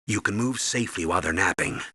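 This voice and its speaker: A stern American colonel briefing an operative in a video game. His low voice is husky and forceful, with clipped, instructional authority. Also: fast talker, heavily acted. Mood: instructive. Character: stern older military colonel giving mission orders by radio. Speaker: mission commander and briefer.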